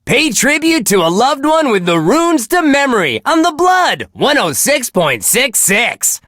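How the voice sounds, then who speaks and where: A man's voice: very high-pitched, huge pitch swings, unhurried, bright, forceful tone, no echo, studio quality, exaggerated voice. An actor playing a relentlessly cheerful male radio DJ at a death metal station, gushing and wholesome in his patter. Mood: chirpy, enthusiastic. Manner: gushing, sunny, wholesome delivery. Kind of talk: upbeat radio host patter.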